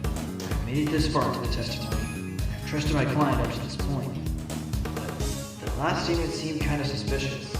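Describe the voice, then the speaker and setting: A man's voice: a little high, moderate pace, long pauses, lightly acted. A man playing a determined, probing fox defense attorney in a fan courtroom audio drama, given to dramatic delivery. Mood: pondering. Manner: dramatic shouted objections, pondering asides. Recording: roomy echo under loud music.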